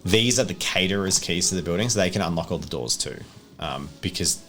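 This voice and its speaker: Lowish-voiced male game master. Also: expressive, fairly quick, a bit bright, faint music behind. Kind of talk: improvised tabletop game narration and rules talk.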